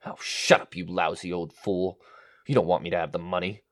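A man's voice: sits low, fairly even intonation, fairly quick, fairly bright tone. An actor playing a greedy, paranoid man coming apart in an audio drama, agitated and theatrical. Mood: frantic accusing. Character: greedy, paranoid man unravelling into murderous madness. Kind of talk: audio drama acting with first-person narration.

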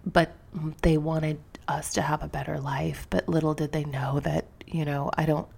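A woman's voice: deep voice, little pitch movement, moderate pace, gravelly, a little mellow, breathy edge. A woman podcast host with a slightly sniffly voice talks candidly and reflectively to her listeners, in an informal, confessional way, close to the microphone. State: sniffly from allergies.

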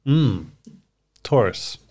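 A woman's voice: rumbling low, animated, drawn-out words, dark tone, slightly rough. A woman hosting a podcast, asking curious questions and giving short reactions to her guest. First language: Icelandic. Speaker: podcast host. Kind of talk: casual interview conversation.

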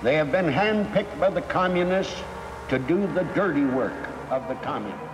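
An actor playing a stern anti-communist official in a radio drama, speaking slowly, emphatically and accusingly. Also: male voice, on the high side, animated, background noise, close to an everyday voice. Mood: stern warning.

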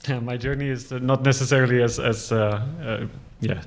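A man from South Africa talks as a podcast guest in a flat, level voice. He hesitates often and repeats words as he gathers his thoughts. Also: mid-range voice, fairly quick. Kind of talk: podcast interview.

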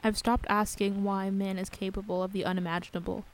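A woman's voice: medium pitch, level tone, a little fast, lightly acted. An actress playing a grave, intent female interviewer in a radio play, speaking in a restrained and serious way. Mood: grim recollection.

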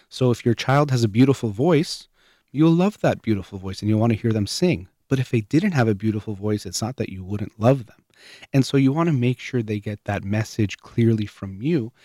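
A man hosting his own show, calmly explaining parenting ideas through everyday analogies. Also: on the low side, no room sound, studio quality. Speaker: show host. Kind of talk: parenting advice monologue.

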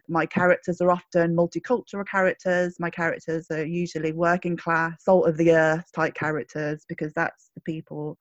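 A woman's voice: fairly low pitch, no echo. A woman with a slight Birmingham accent, a children's writer answering interview questions in a thoughtful, loosely phrased way over a video call. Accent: slight Birmingham (Brummie). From Birmingham, England. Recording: muffled video-call audio.

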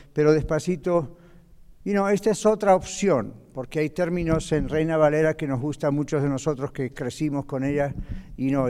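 A man's voice: fairly high pitch, darkish tone, clear, resonant tone. Man teaching a Bible class in a reverberant hall. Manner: conversational, checks in with "¿ok?". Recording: long reverb of a church hall.

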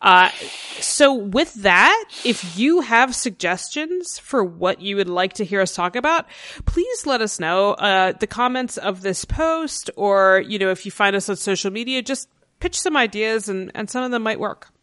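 A woman's voice: mid-range voice, animated, unhurried, fairly bright tone, no room sound, clean studio sound. A woman hosting a casual podcast chat, talking frankly and laughing often. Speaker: podcast host.

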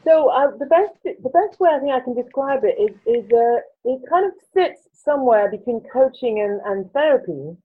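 A woman's voice: high voice, lively intonation, normal speed, hardly any pauses, breathy edge. A French woman in her forties with a French accent answers questions in a thoughtful way. She searches for words and restarts often. Her voice comes through a thin, tinny, phone-like line. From France. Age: 40s. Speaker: guest, coach. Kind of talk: reflective interview answers.

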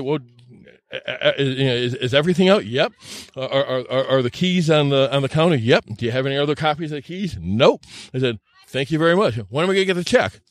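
A man's voice: on the high side, dry recording, studio quality. A man telling anecdotes casually on a podcast, often stammering and repeating words as he goes, plain-spoken and self-deprecating. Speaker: podcast storyteller. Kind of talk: casual anecdotal storytelling chat.

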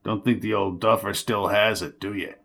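A man voicing a gravelly, chain-smoking older male newspaper reporter who needles everyone in hard-boiled slang. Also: lowish voice, fairly even intonation, moderate pace, fairly bright tone, pitched below the performer's own voice. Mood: needling. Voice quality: raspy, gravelly.

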